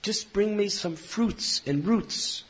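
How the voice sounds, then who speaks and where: A man's voice: high-pitched, slow pace, slightly rough, lo-fi sound, pitched above the performer's own voice, full character voice. A man voicing an agitated, pleading Brahmin pilgrim in a devotional story, forgiving and resigned, crying out in short repeated pleas. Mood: urgent pleading.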